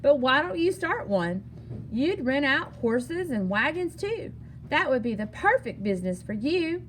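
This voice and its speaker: An enterprising frontier girl read by a woman from a children's storybook, high-voiced and animated. She sounds eager and sure of herself, with some noise in the recording. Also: measured pace, heavily acted. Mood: encouraging. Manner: eager, confident, advice-giving. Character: bright, enterprising frontier girl who bakes pies. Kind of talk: storybook character dialogue.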